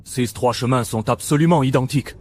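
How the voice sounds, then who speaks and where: A man voicing a steady, cautious squad leader in a French-dubbed animated series. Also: medium pitch, a little fast, slight background noise, natural voice. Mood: watchful. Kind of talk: scripted animated series dub.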